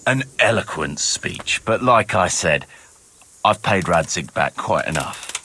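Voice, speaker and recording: An actor playing a cynical, roguish mercenary captain in a video game, sardonic and swaggering, with a rough voice. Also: male voice, low-pitched, animated, a little slow, sharp tone, a little hiss or hum, heavily acted. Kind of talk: dramatic video game dialogue.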